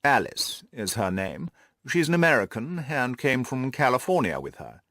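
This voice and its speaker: A male reader acting a stuffy, haughty lord from a classic audiobook. He speaks formally and at length, full of self-importance, with lively swings of pitch in a close, dry recording. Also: moderate pace, dry recording. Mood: aloof. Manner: pompous, formal, long-winded. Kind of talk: audiobook character dialogue.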